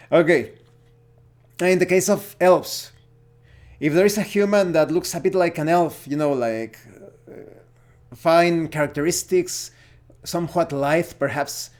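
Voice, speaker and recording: A man with a Mexican accent gives a casual opinion monologue as a gaming vlogger, speaking haltingly and searching for words. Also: a little high, darkish tone.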